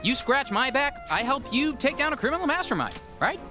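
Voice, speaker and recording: A woman's voice: sits high, very animated, fairly quick, over music. A woman playing a breezy, wisecracking young superhero in a video game, casual and sarcastic. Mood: wry, breezy.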